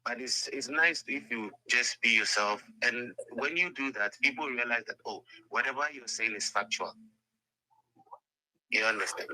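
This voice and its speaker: A man's voice: mid-range voice, normal speed, bright, forceful tone, no echo, rough recording. A man speaking earnestly in a played clip, lecturing a little. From Ghana. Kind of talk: talking in a clip played in the debate.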